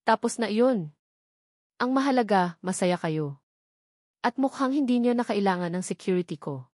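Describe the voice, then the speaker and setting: A woman's voice: medium pitch, animated, normal speed, a little mellow, dry recording, clean studio sound, lightly acted. A woman voicing a friendly grown man in a storybook love drama, speaking lightly and easily.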